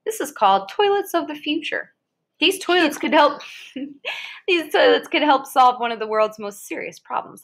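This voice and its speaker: American woman with a highish voice reading an article out loud, chatty little asides here and there. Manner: conversational, interjects short reactions. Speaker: reader of an article aloud to a child. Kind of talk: read-aloud of an educational article with casual asides.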